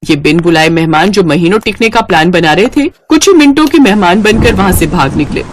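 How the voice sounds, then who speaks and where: A woman's voice: on the low side, animated, a little fast, background noise. A woman reading scripted story narration.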